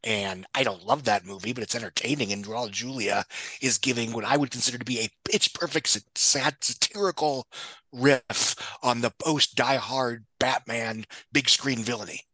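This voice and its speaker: A man's voice: mid-range voice, moderate pace, sharp tone, no room sound. A middle-aged man giving casual, opinionated film commentary in wordy, analytical phrasing.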